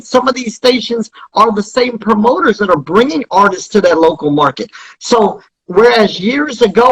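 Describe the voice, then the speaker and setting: High-pitched, husky man explaining in interview. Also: fairly bright tone, low-quality recording. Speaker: interview guest, radio tracking company founder.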